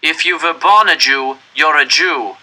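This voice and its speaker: Man acting cruel SS officer, storybook villain, forceful sharp voice, raised pitch, stern, commanding. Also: a little slow, low-quality recording. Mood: cold command. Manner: commanding, merciless. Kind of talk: dramatic storybook reading.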